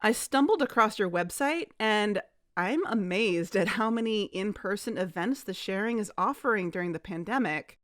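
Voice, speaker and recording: A woman's voice: medium pitch, animated, moderate pace, no room sound, studio quality, natural voice. A woman playing an earnest amateur investigator in a scripted podcast drama, speaking conversationally. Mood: nervous, polite.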